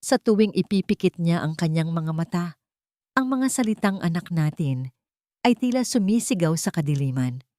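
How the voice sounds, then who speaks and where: A woman's voice: lowish voice, animated, moderate pace, mellow. A woman with a Filipino accent reading a dramatic story as an audiobook narrator, in a scripted storytelling style.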